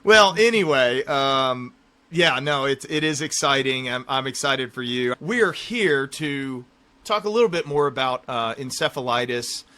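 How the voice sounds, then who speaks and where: A man's voice: mid-range voice, lively intonation, a little slow, pushed and bright. A man in his thirties hosting a podcast in a casual, conversational style. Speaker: podcast host.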